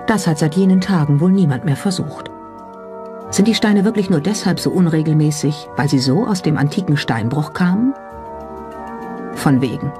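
A narrator reading a scripted documentary. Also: medium pitch. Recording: music under the voice. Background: background music.

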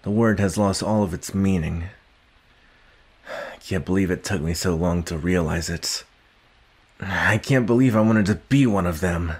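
A young man playing a gruff, guarded but secretly caring villain in an ASMR roleplay, speaking haltingly with sighs and grudging tenderness. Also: low-pitched. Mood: bitter, scornful. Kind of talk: ASMR roleplay addressed to the listener.

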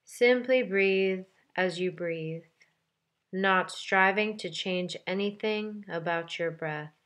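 A woman leading a guided meditation in a calm, gentle, measured way with deliberate pauses between phrases. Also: fairly low pitch. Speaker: meditation guide, yoga teacher. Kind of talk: guided meditation, soft instructional delivery.

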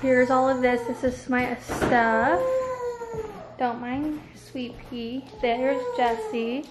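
A woman's voice: sits high, fairly even intonation, takes it slowly, over loud music. A young woman filming a casual cleaning vlog in her family home, chatting to the camera and to her small kids. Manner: chatty, talks to her toddler and baby. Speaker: vlogger. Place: family house, kitchen and living room. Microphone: handheld camera, often held close.

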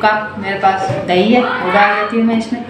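A middle-aged woman hosting her own cooking channel, calmly talking through each step at home while she cooks. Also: medium pitch, moderate pace. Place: home kitchen, cooking at the stove. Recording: echoey, distant, noisy home recording. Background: frying and sizzling from the pan.

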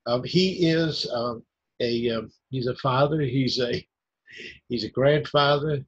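A man's voice: mid-range voice, slow, husky. A man hosting a video call interview, polite and hesitant, with many fillers. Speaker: host. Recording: dry, muffled call audio.

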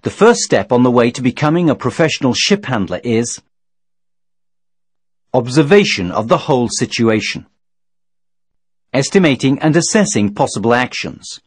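A man reading scripted instructional narration in a measured, formal, didactic manner. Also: mid-range voice, big pitch swings, husky, dry recording, clean studio sound. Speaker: training video narrator.

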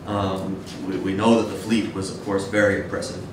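Male academic, deep voice, measured and hesitant lecture delivery, recorded from afar in a reverberant room. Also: flat delivery. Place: dim wood-shelved seminar room with audience, indoors. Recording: echoey room, distant mic, noisy rumble.

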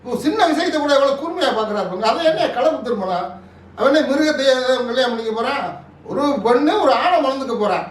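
A man with a Tamil Nadu accent giving impassioned political commentary in an interview, forceful and oratorical, full of rhetorical questions. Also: very high-pitched. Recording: echoey room, distant microphone.

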